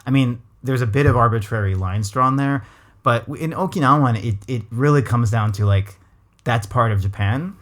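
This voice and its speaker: A man's voice: mid-range voice, normal speed. A man from Los Angeles with a West Coast American accent, hosting a podcast in a thoughtful, casual chat with many restarts. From Los Angeles, USA. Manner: thoughtful, full of restarts and hedges. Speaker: podcast host. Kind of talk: casual conversational interview.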